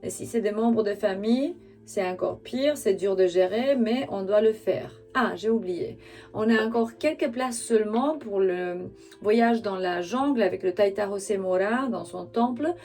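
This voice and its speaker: A woman who is not a native French speaker gives an intuitive tarot reading straight to the listener, halting as she searches for words. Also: mid-range voice, darkish tone, faint music behind. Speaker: spiritual tarot reader and channel host.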